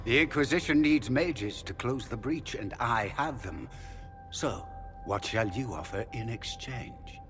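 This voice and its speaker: An actor playing a middle-aged, courtly and condescending magister, a scheming villain in a fantasy video game. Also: male voice, medium pitch, lively intonation, unhurried, close to an everyday voice. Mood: smooth, condescending. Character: haughty, scheming Tevinter magister, smooth-talking villainous father. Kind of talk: dramatic video game acting. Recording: long hall reverb, music behind.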